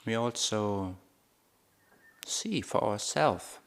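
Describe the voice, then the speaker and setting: A man guiding a meditation as a Buddhist teacher, speaking in short, measured phrases with long pauses between them. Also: fairly low pitch, expressive. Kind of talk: guided meditation talk.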